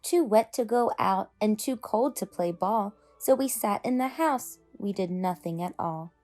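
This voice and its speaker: A woman's voice: mid-range voice, a little slow, soft background music. A woman hosting a story time, speaking expressively and emphatically to young children. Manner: animated, emphatic, addressing children. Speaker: host reading children's stories.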